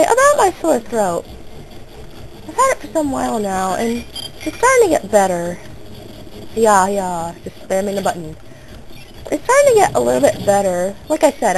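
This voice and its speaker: A teenage girl with a hoarse, sore throat, rambling through casual gameplay commentary. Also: fairly high pitch, a little mellow, slight background noise, poor audio. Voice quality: gravelly. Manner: rambling and self-interrupting, coughing now and then. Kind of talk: casual let's play commentary.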